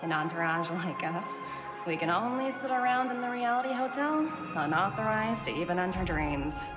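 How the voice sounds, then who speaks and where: A woman voicing a poised, brisk young corporate executive in a video game, composed and businesslike. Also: medium pitch, expressive, lightly acted. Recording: background music under the voice.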